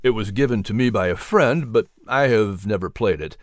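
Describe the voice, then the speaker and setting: A narrator voicing a kindly, calm male preacher who mentors gently in a measured, encouraging way. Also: mid-range voice, no room sound, clean studio sound, close to an everyday voice. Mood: kindly, reassuring.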